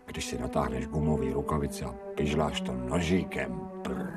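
A man's voice: very deep voice, fairly even intonation. A man playing a smug, gluttonous pet dog in a radio play, savouring his thoughts and murmuring with relish. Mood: blissful, fawning. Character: smug, gluttonous male stray dog turned pampered pet. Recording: distant-miked radio production with music.

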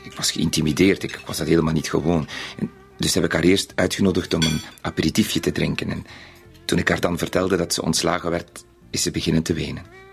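Timid, gravelly, deep-voiced Flemish clerk. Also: male voice, level tone, fairly quick, soft background music. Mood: earnest, confiding. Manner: hesitant, polite, self-effacing. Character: timid, naive, modest married bank bookkeeper. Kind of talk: radio drama acting.

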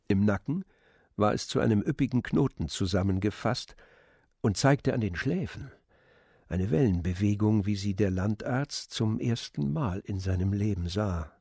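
A man narrating a literary story in a measured, descriptive manner. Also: on the low side, expressive, warm tone, breathy edge, no echo, clean studio sound.

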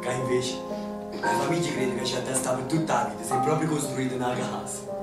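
An actor playing a young Neapolitan man who jokes and exclaims loudly while sheltering from air raids, with a Neapolitan accent. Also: mid-range voice, fairly even intonation, brisk, lightly acted. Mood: tender. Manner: loud, exclaiming, jesting. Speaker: stage actor. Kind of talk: theatrical radio play acting. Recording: echoey distant stage recording with music.

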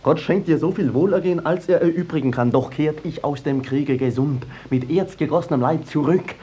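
An actor playing a hot-headed, jilted young peasant bridegroom, declaiming indignantly in a 1950s German radio play. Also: male voice, on the high side, a little fast, mellow, muffled recording, natural voice. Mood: bitter, indignant.

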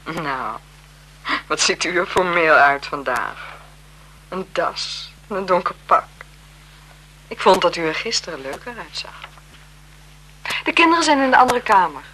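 Casting: a genteel, coquettish marquise, lowish bright voice, languid and teasing, 1970s radio play with audible hiss. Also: female voice, lively intonation, measured pace, long pauses, poor audio. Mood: coy, teasing. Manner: coy, teasing, formal and genteel. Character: elegant, flirtatious marquise, languid and self-assured. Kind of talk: 1970s Dutch radio drama acting.

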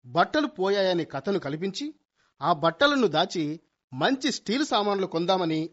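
High-voiced cocky young detective son. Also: male voice, very animated, moderate pace, a bit bright, exaggerated voice. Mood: dramatic, revealing. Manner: grandiose courtroom flourishes with English phrases mixed in. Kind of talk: Telugu radio comedy play acting. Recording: dull lo-fi radio recording.

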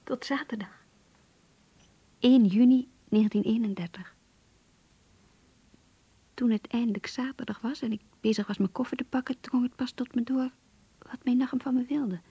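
A yearning young woman confiding her diary in a radio play. Her voice is fairly high and very breathy, almost whispered, in a close, dry sound. She sounds earnest, intimate and a little anxious. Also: steady tone, moderate pace, long pauses, warm tone, no echo, highs cut off. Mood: hushed, reflective. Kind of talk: radio drama diary narration.

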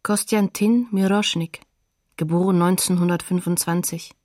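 A woman narrating a scripted documentary in a factual, measured manner. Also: on the low side, steady tone, darkish tone, no echo, studio quality.

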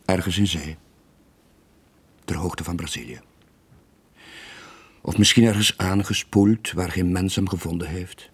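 An actor playing a weary, lonely sailor in his late forties, gentle but evasive, with a husky voice and a subdued, hesitant manner in a radio drama. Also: sits low, a little slow, long pauses, exaggerated voice. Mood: wistful. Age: late 40s.

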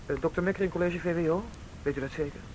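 A man's voice: rapid-fire, darkish tone, natural voice, rough recording. An actor playing a polite seventeen-year-old schoolboy in a radio drama, guarded and hesitant, choosing his words carefully. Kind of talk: Dutch radio drama acting. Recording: radio play with faint street rumble.

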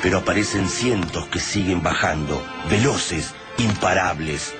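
A man acting as a solemn Argentine narrator of a sci-fi war radio play, phrasing deliberately and with suspense. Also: low-pitched, over loud music. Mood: grim, tense. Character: grave male narrator of a sci-fi invasion war.

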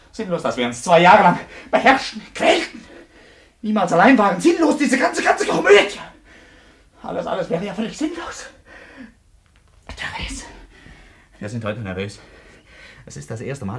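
A man's voice: sits high, big pitch swings, moderate pace, pauses often. An actor in a German radio play playing a tormented, irritable husband with murder on his conscience, tense, brooding and bitter. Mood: anguished despair.

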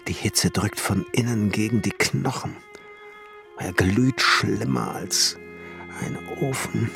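Radio drama: solemn visionary glassmaker, slow and declamatory, gravelly edge, slipping into hushed musing. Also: male voice, fairly low pitch. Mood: feverish. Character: Johann Kunkel, obsessive visionary glassmaker and alchemist, solemn. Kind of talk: radio play inner monologue, literary German. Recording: studio radio play with music underneath.

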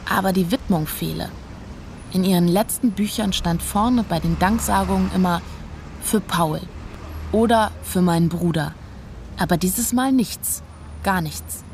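A woman's voice: on the low side, a little mellow, a little hiss or hum. An actress playing a detached, unsentimental woman who recounts her past in a dry, matter-of-fact way, as literary radio drama narration.

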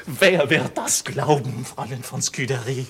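Very husky desperate young journeyman, sharp bright edge, bitter sarcasm, theatrical vintage radio drama. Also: medium pitch, animated, measured pace, heavily acted. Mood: anguished. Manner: theatrical, sarcastic, swinging between hushed and fervent. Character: despairing, bitter young goldsmith's journeyman accused of murder. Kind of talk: 1950s German radio play acting. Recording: vintage 1950s radio play recording.

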